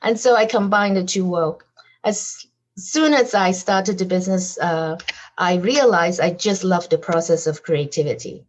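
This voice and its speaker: A woman's voice: lowish voice, a little slow. A woman guest on a podcast interview, talking animatedly about her design business with frequent fillers and light laughs. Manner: laughs lightly as she talks.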